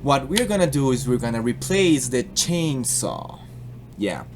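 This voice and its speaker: A young man with a Colombian accent casually explaining a software tutorial, joking and slipping into put-on accents. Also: mid-range voice, sing-song, unhurried, a little hiss or hum. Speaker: tutorial host.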